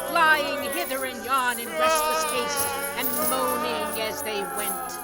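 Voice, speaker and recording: A narrator telling a story dramatically and expressively in a scripted radio play. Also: mid-range voice.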